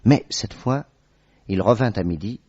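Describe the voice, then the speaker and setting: An actor playing a genial, admiring gentleman friend and narrator, telling the story in a French radio drama. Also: fairly low pitch, measured pace, breathy edge, highs cut off. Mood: measured narration.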